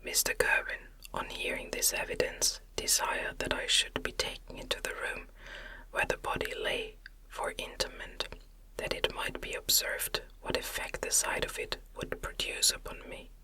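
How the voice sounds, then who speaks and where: Woman doing asmr audiobook, all breathy whisper, crisp bright hiss to it, gentle and careful. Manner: gentle, soothing, unhurried careful phrasing. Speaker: narrator reading a classic novel aloud.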